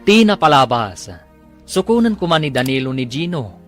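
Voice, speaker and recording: A man's voice: a little high, very animated, normal speed, a bit bright. Ilocano guy announcing and recapping a radio drama, scripted read, some music under him. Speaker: announcer and narrator.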